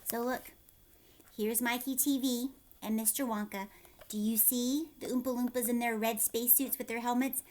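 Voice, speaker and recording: A woman reading a children's story aloud expressively, pausing to explain things simply to young listeners like a teacher. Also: a little high, fairly even intonation, darkish tone.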